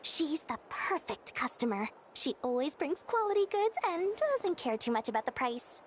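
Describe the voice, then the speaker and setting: A woman voicing a greedy, flattering young female merchant in an anime-style video game, salesy and theatrically courteous. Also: high voice, moderate pace, slight background noise. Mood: boastful, grudging.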